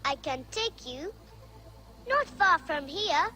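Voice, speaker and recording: A woman's voice: very high-pitched, lively intonation, takes it slowly, bright, forceful tone, heavily acted. An actress playing an insistent woman market trader in a video game, hawking wares in clipped, broken sentences. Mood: coaxing. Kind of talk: video game character acting.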